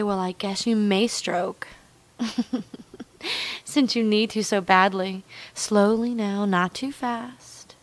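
A woman speaking in an intimate, commanding hypnosis style, coaxing and repetitive, with a breathy voice that often drops to a whisper. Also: mid-range voice. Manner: slow, drawn-out, dominant and coaxing. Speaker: erotic hypnosis performer.

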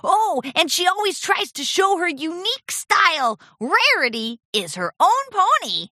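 A woman voicing a cheeky, greedy little boy dragon in a storybook, with a frank, excitable manner. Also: extremely high pitch, measured pace, dry recording, studio quality, voice pushed up, full character voice. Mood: keen.

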